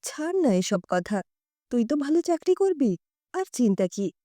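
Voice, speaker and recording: Story role: woman narrator as brave-faced, affectionate big sister, gentle, high and very mellow. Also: sing-song, normal speed, no echo, studio quality. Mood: resigned. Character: affectionate elder sister, putting on a brave face.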